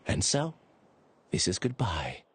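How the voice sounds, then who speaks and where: A man playing an earnest, sorrowful researcher in a video game, speaking in a solemn, deliberate way. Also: deep voice, wildly swooping pitch, slight background noise, full character voice. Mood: resigned farewell. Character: earnest young male researcher, resigned, sorrowful and confessional. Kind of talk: English video game voice acting. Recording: music behind the voice.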